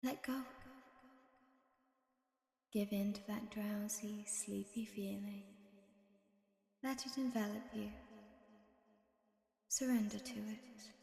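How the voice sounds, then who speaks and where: A woman whispers slowly and softly in the manner of a hypnosis session, her tone commanding. Her voice is mellow, rather high and flat in melody. The recording carries a long, roomy reverb. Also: gravelly. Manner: slow soft whispering, commanding. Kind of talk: hypnosis audio.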